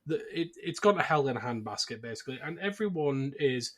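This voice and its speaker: A man's voice: medium pitch, lively intonation, fairly quick. A man hosting a podcast, talking through a film in an informal, wry and casual way. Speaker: podcast host. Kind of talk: casual film discussion and plot recap.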